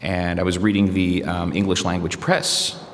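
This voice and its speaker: A man's voice: sits low, flat delivery, moderate pace, long reverb tail, a little hiss or hum. A man from the United States, a journalist guest, answering interview questions in a reflective, hesitant way with many fillers and restarts.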